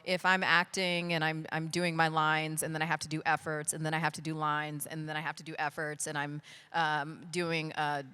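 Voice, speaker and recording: A woman's voice: deep voice, level tone, moderate pace, bright, forceful tone, clear, resonant tone. A woman who voice acts, explaining her work in an answer at a live panel. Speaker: voice actor on a convention panel.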